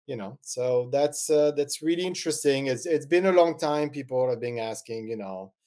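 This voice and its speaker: A man's voice: medium pitch, normal speed, mellow. Man, middle-aged, French accent, interview guest, hesitant, rambling. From France. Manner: rambling, hesitant, many ums. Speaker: guest, eyewear designer. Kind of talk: casual interview chat.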